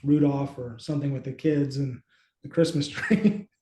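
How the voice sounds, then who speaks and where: A man in his thirties gives an informal demonstration, pausing to think aloud as he goes. His voice is mellow and mid-pitched. It carries clear room echo, like a home office video call. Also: steady tone. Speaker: co-host, app company team member. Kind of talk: casual, informal demonstration chat. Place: small home office indoors.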